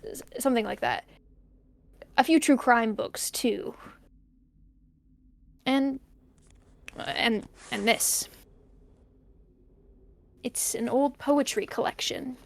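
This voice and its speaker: High-voiced, hesitant young diarist played by a woman. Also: takes it slowly, creaky, long pauses. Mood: pensive, hesitant. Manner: hesitant, rambling, pensive. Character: uneasy young person narrating an investigation into a tape recorder. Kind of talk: audio drama monologue, found-tape diary.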